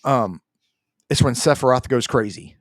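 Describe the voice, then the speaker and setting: A man's voice: medium pitch, normal speed, dry recording. Slangy man in dry, casual podcast chat. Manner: slangy, repetitive, loose storytelling. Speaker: gaming podcast co-host. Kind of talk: casual chat, counting down favorites.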